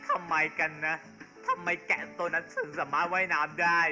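High-voiced man fan-dubbing a cartoon, crude and loud, with music behind. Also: level tone, fairly quick. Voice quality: loud and crude, switching between character lines and swearing. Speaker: dubber of a cartoon. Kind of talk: comic fan dub with cursing. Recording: dull lo-fi sound with music.

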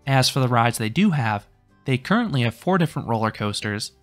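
A man reads a scripted amusement park review in an even, informative voice of middling pitch. He stays matter-of-fact throughout. Faint music plays softly beneath the voice-over.

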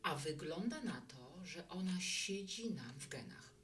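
A woman's voice: low-pitched, animated, unhurried, recorded from a distance, slight background noise. A woman playing a hospitable, reflective bar keeper in a Polish audio drama, talking in a conversational, musing way.

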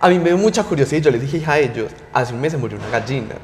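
A man's voice: mid-range voice, very fast, slight background noise. A young Colombian man with a paisa accent telling personal stories in casual chat, animatedly acting out what people said. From Medellín, Colombia. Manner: animated, acts out quoted remarks. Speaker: podcast guest, content creator. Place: small indoor podcast studio. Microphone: close boom-arm microphone. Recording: echoey room, faint music.

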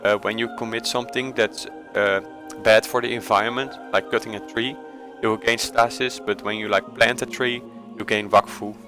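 Dutch guy doing a game tutorial, lowish monotone, lots of uhs, faint music behind. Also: moderate pace, hardly any pauses. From Netherlands. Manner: hesitant, many uh fillers and self-corrections. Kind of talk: casual screen-recorded tutorial voice-over.

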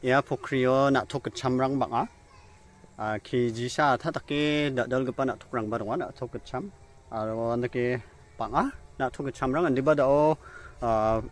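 A man's voice: mid-range voice, fairly even intonation, moderate pace. A young man hosting a casual vlog by a rural pond, chatting and explaining directions into a phone held at arm's length. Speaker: vlogger host. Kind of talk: casual outdoor vlog talk. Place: outdoors by a rural fish pond.

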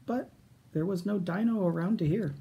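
A man's voice: sits high, moderate pace, gravelly, dark tone. A middle-aged American man reading a picture book expressively to children at a storytime. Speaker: school storytime reader. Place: small room at home, indoors.

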